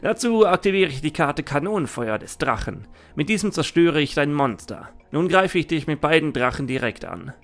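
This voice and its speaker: A man's voice: a little high, big pitch swings, moderate pace, few pauses, fairly bright tone, soft background music. A man playing a confident, defiant young duelist with a dragon deck in an anime fan audio drama. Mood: determined.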